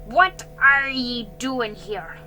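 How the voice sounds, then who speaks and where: A woman voicing an angry, bullying man from a storybook, shouting threats in old-fashioned rustic wording. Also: on the high side, drawn-out words, faint music behind, exaggerated voice, low-quality recording. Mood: furious. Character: angry bullying man, rough horse owner with a whip. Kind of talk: storybook character voice.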